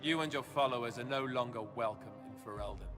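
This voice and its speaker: An actor playing an indignant, reproachful king in a fantasy video game, speaking with measured authority. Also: mid-range voice, lightly acted. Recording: echoing hall with music behind.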